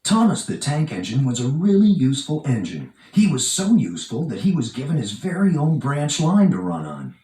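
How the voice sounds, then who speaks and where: A man reading a children's storybook aloud with expressive, dramatic emphasis. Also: fairly high pitch, moderate pace, hardly any pauses. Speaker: storybook narrator. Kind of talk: animated read-aloud children's storybook narration. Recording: roomy, echoing, distant from mic.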